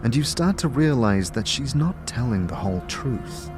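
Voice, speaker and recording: A man's voice: mid-range voice, sing-song, normal speed, music in the background. A man narrating a fantasy audio drama in the second person, with dramatic, atmospheric storytelling.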